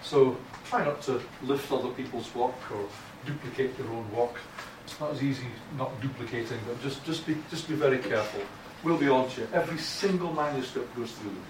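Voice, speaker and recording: A man's voice: medium pitch, fairly quick. Blunt Scottish man lecturing in echoey room. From Scotland. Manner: blunt, informal, frequent restarts. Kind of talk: academic writing lecture. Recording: echoey room from far off, noisy.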